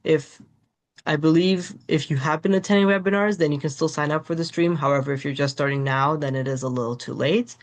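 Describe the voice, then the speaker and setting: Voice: medium pitch, fairly even intonation, brisk. A webinar host reading a formal prepared introduction over a video call. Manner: reads prepared remarks, formal. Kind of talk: scripted introduction.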